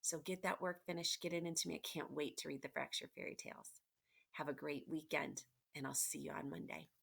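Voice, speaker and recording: A woman teacher reading aloud to children, expressively and encouragingly, pausing to explain and ask questions. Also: low voice, flat delivery, gravelly, fairly bright tone, breathy edge. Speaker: elementary school teacher. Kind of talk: children's read-aloud with questions and asides to pupils. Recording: noticeable background noise, clicks.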